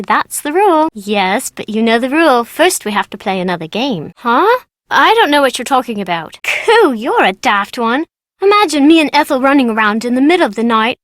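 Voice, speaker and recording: A woman's voice: high voice, no room sound, clean studio sound. A woman voicing a playful, mischievous British schoolgirl in a video game, chatty and childlike. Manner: childlike, informal, bargaining. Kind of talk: video game character dialogue.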